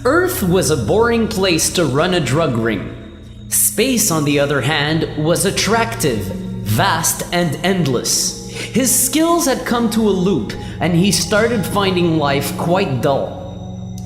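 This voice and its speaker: A woman's voice: fairly low pitch, big pitch swings, slow pace. A woman reading scripted narration for a radio play. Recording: echoey hall reverb with loud music.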